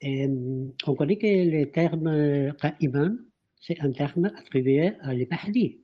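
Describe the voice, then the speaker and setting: Man, French speaker, caller on a dull lo-fi online call; halting, repeats himself. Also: a little high. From Spain. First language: not French. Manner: halting, repeats words while searching for French. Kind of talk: asking a question in an online call. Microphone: online call microphone.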